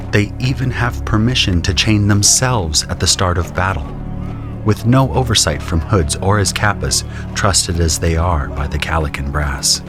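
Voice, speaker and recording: A man with a deep voice narrates a scripted audio drama in the first person. Music plays clearly beneath his narration.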